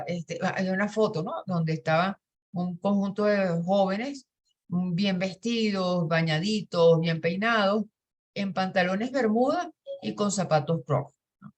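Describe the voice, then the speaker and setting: A woman, a human rights researcher speaking earnestly on a webinar panel, with many fillers and tag questions. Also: on the low side.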